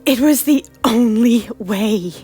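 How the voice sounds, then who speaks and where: A woman's voice: a little high, animated, slow pace, slightly rough, soft background music, heavily acted. A woman voicing an anxious, driven young woman in medieval Scotland, speaking urgently and pleadingly. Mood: earnest. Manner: urgent and pleading, with sudden loud outbursts. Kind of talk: amateur audio drama acting.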